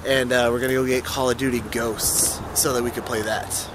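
A man's voice: mid-range voice, flat delivery, fairly quick. A young man in his twenties talks casually to a handheld camera for his daily vlog, rambling and laughing often. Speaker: vlogger. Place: outdoors in city streets and parking lots, also in a car and at home. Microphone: handheld camera at arm's length.

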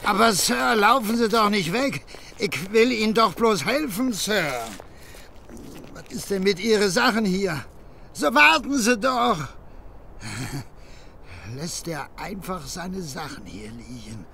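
A man's voice: high voice, animated, slow, vocal fry, pushed and bright, a little hiss or hum, full character voice. An actor in a German radio play voicing a good-natured, tipsy working-class husband with a Berlin accent, rambling and slurring as he wheedles. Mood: bold, helpful, then amazed, pleased.

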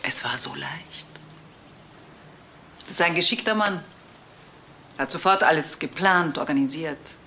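A betrayed middle-aged wife in a crime radio play. Her voice is creaky and sharp, and she confesses haltingly, with bitterness in her words. There is faint noise behind her. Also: sits low, heavily acted. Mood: strained confessing. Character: bitter betrayed wife and mother of two sons.

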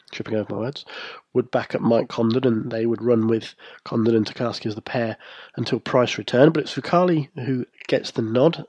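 A man's voice: medium pitch, darkish tone, dry recording. Man with a cold, dry close mic. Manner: measured, analytical, occasional fillers. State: congested from a cold, snuffly and croaky. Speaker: podcast host. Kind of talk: solo hockey news commentary.

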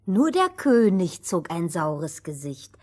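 Slow-paced woman telling a fairy tale. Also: lowish voice, big pitch swings, a little mellow. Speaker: storyteller. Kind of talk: scripted children's fairy tale narration.

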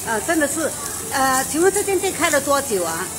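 A woman's voice: high-pitched, big pitch swings, brisk. A middle-aged woman, hosting a casual restaurant review and chatting enthusiastically with the owner inside a restaurant. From Malaysia. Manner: chatty and enthusiastic, with frequent fillers and exclamations. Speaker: food show host. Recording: noisy restaurant background.

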